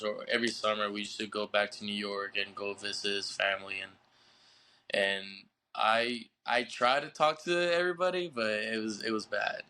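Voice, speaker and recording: A young man from Puerto Rico, a baseball player being interviewed on a podcast, telling his story in a halting way and often repeating words as he searches for the English. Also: on the low side, pushed and bright, clean tone. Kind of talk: casual interview.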